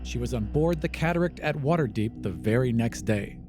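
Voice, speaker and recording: A man's voice: medium pitch, expressive, brisk. Male fantasy narrator over music. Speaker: game master and narrator.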